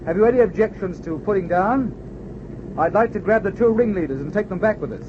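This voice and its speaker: A man's voice: sits high, lively intonation, fairly quick. An actor playing a polite, resolute man who is coolly willing to take risks, in a mid-century radio adventure serial. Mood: cool, determined. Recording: muffled, noisy old radio recording, engine drone.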